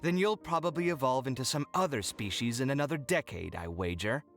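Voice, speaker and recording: A man's voice: medium pitch, animated, a bit bright. An exasperated fussy young man. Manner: indignant, exasperated, quick to bicker. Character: touchy young male architect, fussy and dramatic. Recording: game audio with faint music.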